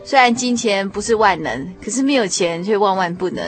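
Female radio interviewer, Taiwanese Mandarin accent. Medium pitch, conversational delivery with frequent small agreements, music playing underneath. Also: fairly quick. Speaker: radio programme host interviewing a guest. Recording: music behind the voice. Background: background music.

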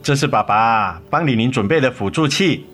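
A man acting a remorseful, devoted middle-aged father in a radio drama, gentle, tender and coaxing. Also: mid-range voice, lively intonation, normal speed, natural voice. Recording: music under the voice.

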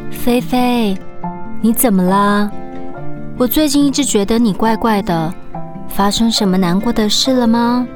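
A woman playing a gentle dolphin mother in a children's radio drama, tender and reassuring. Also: on the high side, a little slow, lightly acted. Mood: gentle concern. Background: background music.

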